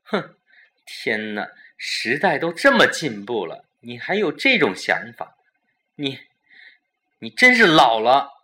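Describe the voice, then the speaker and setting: A man's voice: mid-range voice, very animated, slow pace, bright, forceful tone, voice pushed up, full character voice. A man voicing an indignant, tearful young wife in her twenties, pleading and accusing, in a Chinese audio drama.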